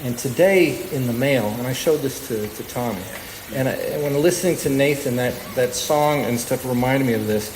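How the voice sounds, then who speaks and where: Male live radio host, unscripted and halting, roomy sound with some noise. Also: mid-range voice, darkish tone. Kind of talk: unscripted stage talk to a live audience. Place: stage of a live radio show. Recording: echoey hall reverb, noticeable background noise.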